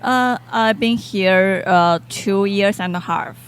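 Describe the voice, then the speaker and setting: A woman from China speaking halting, simple English with a Chinese accent as a podcast guest, often giggling. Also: medium pitch, slight background noise. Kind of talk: casual interview chat.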